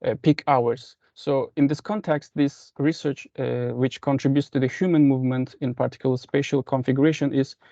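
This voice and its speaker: A man's voice: medium pitch, fairly even intonation, normal speed, few pauses, mellow, no room sound. A young man from Indonesia with an Indonesian accent, giving a formal academic presentation over a lo-fi video call. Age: young adult. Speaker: master's student presenter. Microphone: computer on a video call. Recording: dull lo-fi online call.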